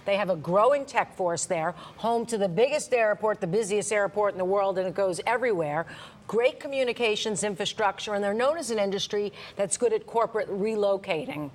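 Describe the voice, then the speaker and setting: A woman giving conversational live news analysis as a TV reporter, frank and explanatory. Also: medium pitch.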